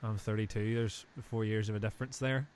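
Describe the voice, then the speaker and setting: A man's voice: on the low side, steady tone, fairly quick. Chatty Northern Irish man in his thirties. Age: 30s. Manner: chatty, joking, rambling asides. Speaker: host. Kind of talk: casual live-stream interview chat.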